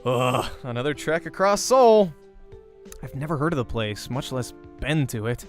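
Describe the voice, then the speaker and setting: A man's voice: medium pitch, expressive, moderate pace, lightly acted. Give me a man playing a worn-down, anxious young guy in a visual novel, dry and hesitant, with faint music behind. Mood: doubtful. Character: anxious, weary young Western male aspiring team recruiter.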